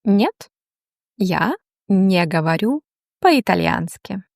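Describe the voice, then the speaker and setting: A woman teaching a language, reading slow, deliberate question-and-answer drills with long pauses. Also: mid-range voice, big pitch swings, creaky, darkish tone, no room sound, studio quality.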